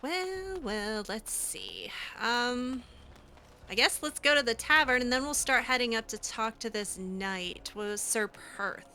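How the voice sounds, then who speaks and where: A woman's voice: a little high, expressive, measured pace, sharp tone, a little hiss or hum. A woman playing through a role-play video game in character, musing aloud to herself in a hesitant way. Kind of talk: in-character game playthrough commentary.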